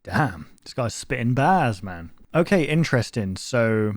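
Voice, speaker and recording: A man's voice: medium pitch, big pitch swings, measured pace, a little hiss or hum. A man hosting a reaction video in a casual, rambling, speculative way.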